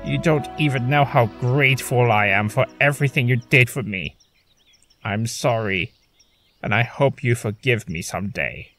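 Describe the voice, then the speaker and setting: A man playing a remorseful, lovestruck male trickster spirit in an audio drama, speaking in a halting, earnest way, with music under the voice. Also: medium pitch, a bit bright, close to an everyday voice. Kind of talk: fan audio drama acting.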